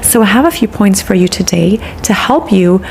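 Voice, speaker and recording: A woman's voice: mid-range voice, animated, moderate pace, gravelly, darkish tone, slight background noise. A woman hosting a dating advice podcast, speaking in an encouraging coaching tone while stuffy from a cold. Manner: encouraging, coaching tone with repeated phrases. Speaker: podcast host.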